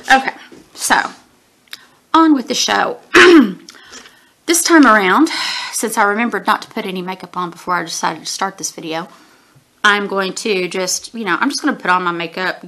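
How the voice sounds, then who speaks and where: A woman's voice: mid-range voice, fairly bright tone. Chatty sniffly woman reviewing a book. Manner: chatty, rambling, frequent ums and throat-clearing. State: sniffly from allergies. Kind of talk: casual spoken book review. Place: small room at home, indoors. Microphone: webcam at desk distance.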